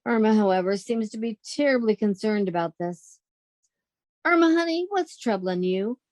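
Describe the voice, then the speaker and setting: A woman's voice: mid-range voice, animated, moderate pace, darkish tone, no echo, lightly acted. A woman playing a sensible, wry young roommate who narrates a 1940s-style radio comedy. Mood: wry, fond. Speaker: actress in theatre company.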